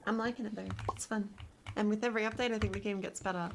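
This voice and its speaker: A woman with an Australian accent chats easily on stream, drifting between thoughts with frequent laughs and fillers. Her pitch sits mid-range. A steady hiss runs under the recording. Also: quick pace. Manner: laughs often, relaxed rambling with fillers. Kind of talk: casual chat.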